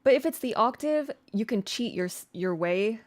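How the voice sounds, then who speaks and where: A woman's voice: on the high side, lively intonation, moderate pace. A woman streamer and singer giving an informal, chatty vocal tutorial, with slangy asides and replies to viewers. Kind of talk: casual livestream tutorial with chat replies.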